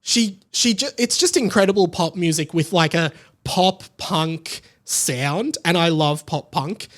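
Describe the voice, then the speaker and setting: A man's voice: on the high side, unhurried, fairly bright tone. A young Australian man chatting casually and enthusiastically as a solo podcast host. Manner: enthusiastic, effusive.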